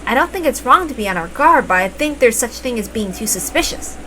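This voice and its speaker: A woman's voice: a little high, lively intonation, a little fast, pushed and bright, slight background noise, poor audio. A woman voicing an earnest, protective young man who speaks politely in a story reading. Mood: polite objection.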